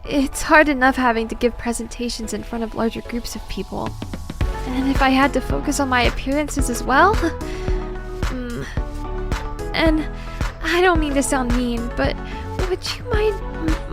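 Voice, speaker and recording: An actress plays a modest young female scientist in an audio drama, bookish and gentle. Her high voice is hesitant and easily flustered, with polite, wordy phrasing. Music runs clearly underneath. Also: moderate pace.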